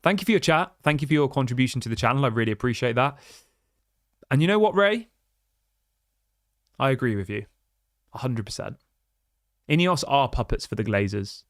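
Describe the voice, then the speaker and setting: A man's voice: medium pitch, lively intonation, brisk, pauses often, fairly bright tone, no room sound. A man hosts a football fan livestream, answering viewer chats in a worked-up, restless rant. He restarts sentences and keeps firing rhetorical questions. His mid-range voice sits close and dry on the mic. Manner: passionate, ranting, many restarts and rhetorical questions. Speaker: football fan channel host.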